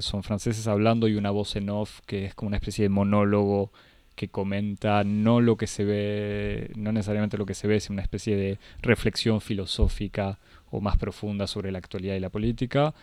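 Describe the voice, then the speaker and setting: Low, even-toned man analyzing a film. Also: smooth, no echo. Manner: measured, analytical, light self-correction. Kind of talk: casual film discussion.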